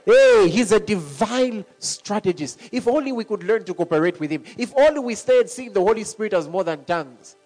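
A high-pitched man delivers a lively sermon, laughing often as he moves between points. Soft music plays faintly behind him. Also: normal speed, hardly any pauses. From Zambia. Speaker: preacher.

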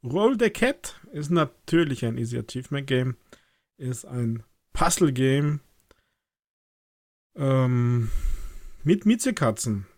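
A man's voice: mid-range voice, big pitch swings, takes it slowly, pauses often, darkish tone, dry recording. Chill guy cohosting a gaming podcast, dry comebacks, dead-dry close mic. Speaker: podcast co-host. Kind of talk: casual gaming podcast chat.

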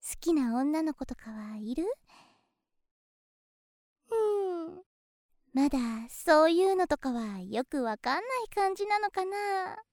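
Very high-pitched, flirty nurse. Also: female voice, big pitch swings, darkish tone, no room sound, studio quality, exaggerated voice. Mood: teasing, coy. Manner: cutesy, teasing, coaxing, unhurried. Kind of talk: Japanese situation voice drama, close one-on-one address.

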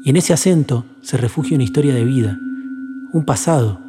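A man narrating a documentary podcast in a measured, solemn and lyrical way. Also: mid-range voice, noisy background. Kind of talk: reflective scripted narration. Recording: long reverb with music bed.

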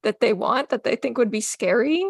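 A woman with a fairly high voice co-hosts a casual book discussion. She sounds conversational and is recorded close, with almost no room sound. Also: lively intonation, moderate pace, slightly rough, no echo. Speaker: podcast co-host.

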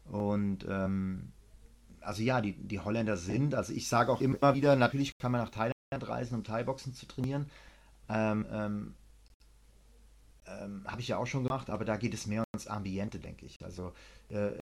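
A man's voice: fairly low pitch, fairly even intonation, normal speed, vocal fry. A middle-aged man chatting casually on a podcast, rambling with many fillers and blunt, opinionated remarks.

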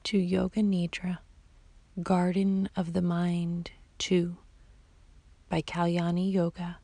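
A woman guiding a sleep meditation as a yoga nidra teacher, in a soft, whispery, soothing voice with long, unhurried pauses. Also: on the low side, monotone, gravelly, no room sound. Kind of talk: slow guided sleep meditation.

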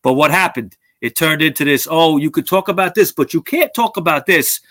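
A man's voice: on the high side, lively intonation, brisk, pushed and bright, dry recording, studio quality. A man hosting a talk show, speaking bluntly with frequent swearing and restarts. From United States. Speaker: podcast host. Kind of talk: opinionated casual talk.

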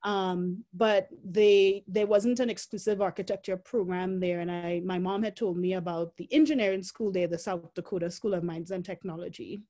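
A Trinidadian woman in her forties tells her own story in a relaxed interview. She speaks reflectively, often pausing on fillers and breaking into laughter. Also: on the low side. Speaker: guest.

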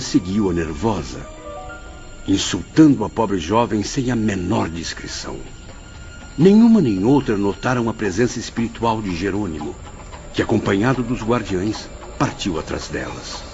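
Deep-voiced man narrating with dramatic swings. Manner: solemn, literary delivery. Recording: dull recording, faint music behind.

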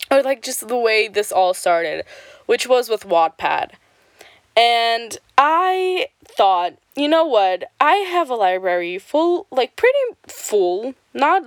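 A woman's voice: mid-range voice, clean tone, no echo. A young woman hosting a casual podcast while sick and stuffy, talking with a slight lisp in a rambling, profane way. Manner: slight lisp from swollen tongue. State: stuffy, sick with swollen tongue. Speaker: podcast host. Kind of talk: casual solo podcast chat. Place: home at night.

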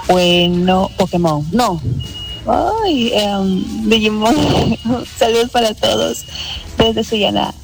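A woman's voice: medium pitch, fairly even intonation, takes it slowly, vocal fry, background noise. A woman leaving a casual voice message as a listener greeting a radio show. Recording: voice message under loud music.

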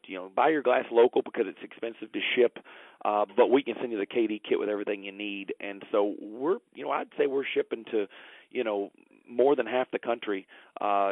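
A man's voice: on the low side, dry recording. A man being interviewed over the phone as a company founder, chatting casually and telling folksy, enthusiastic anecdotes with bits of quoted conversation. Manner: folksy, enthusiastic storytelling, quoting past conversations. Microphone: telephone. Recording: thin, dry phone-line sound.

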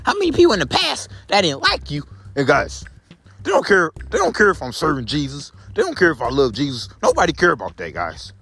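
Deep-voiced young man rambling to a phone. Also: wildly swooping pitch, fairly quick, a bit bright, slightly rough. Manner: repeats phrases over and over, addresses viewers as guys. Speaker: vlogger. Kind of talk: rambling phone vlog on a walk. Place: outdoors in the rain. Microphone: phone camera.

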